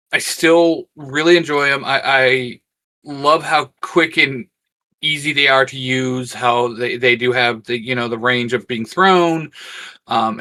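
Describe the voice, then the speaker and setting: A man's voice: medium pitch, sharp tone. A man co-hosting a review in a casual, conversational style. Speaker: co-host.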